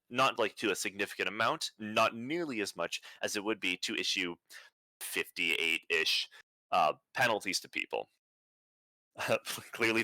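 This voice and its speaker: Monotone young man with a bright, dry voice. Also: lowish voice, dry recording, low-quality recording. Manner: flat, self-described monotone, many fillers. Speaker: presenter. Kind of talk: online conference presentation.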